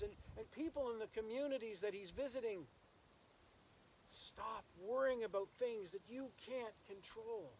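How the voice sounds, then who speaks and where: A male minister with a notably high voice leads a graveside service in the open air. His delivery is solemn and measured, with pauses between phrases. The camera stands some metres off, and faint insect and nature noise runs behind him. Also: darkish tone, poor audio. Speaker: minister officiating a funeral. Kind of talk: graveside sermon with scripture reading and prayer. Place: outdoors in an open rural cemetery. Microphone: camera several metres away.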